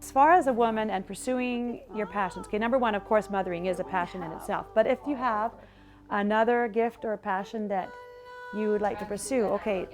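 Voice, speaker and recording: A woman's voice: mid-range voice, a little fast, over music. A woman from Argentina, a singer and mother, speaking in an interview in an earnest, reflective way with some restarts.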